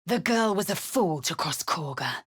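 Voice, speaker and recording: Stern, husky alien warrior woman. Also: lowish voice, lively intonation, measured pace, fairly bright tone, exaggerated voice. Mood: stern, resolute. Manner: clipped, imperious, formal commands. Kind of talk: video game character voice lines.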